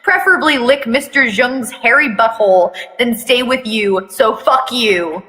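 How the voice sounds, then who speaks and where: A woman's voice: on the high side, measured pace, bright, forceful tone, big-room sound, poor audio. A woman voicing a sneering, whiny, foul-mouthed teenage schoolboy in a dramatic story reading. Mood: sneering, defiant. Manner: whiny, scoffing.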